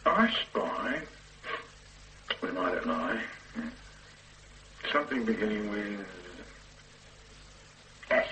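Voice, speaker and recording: An actor playing a restless police officer on a stakeout, laconic and bored in a radio drama. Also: male voice, fairly low pitch, sing-song, takes it slowly, pauses often, sharp tone, slight background noise, full character voice. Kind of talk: 1970s radio drama acting. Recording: roomy, slightly distant old radio play.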